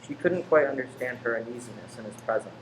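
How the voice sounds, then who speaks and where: A narrator delivers scripted drama in a mid-pitched voice. Clear background noise sits behind the reading. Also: lively intonation, fast.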